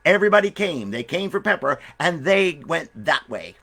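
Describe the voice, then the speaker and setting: A man's voice: a little high, big pitch swings, normal speed, gravelly, pushed and bright, pitched above the performer's own voice, full character voice. A man voicing a helpful, folksy storekeeper in a children's story, matter-of-fact in his answers.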